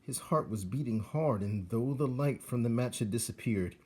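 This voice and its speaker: A man narrating a storybook aloud as a teacher would, with deliberate, dramatic phrasing. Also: mid-range voice, warm tone, breathy edge.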